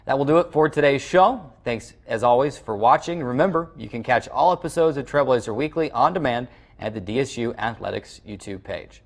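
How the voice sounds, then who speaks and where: A man's voice: mid-range voice, animated, brisk, few pauses. A man hosting a college sports show, steadily reading the week's schedule with occasional fillers. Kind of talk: scripted sports schedule recap.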